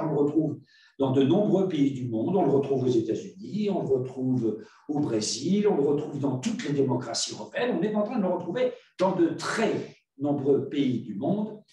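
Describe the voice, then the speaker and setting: An older man with a French accent giving a measured academic talk, pausing on fillers as he lays out his argument. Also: a little high, darkish tone. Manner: measured, lecturing with many fillers. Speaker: panel speaker. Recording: echoey room, far from mic.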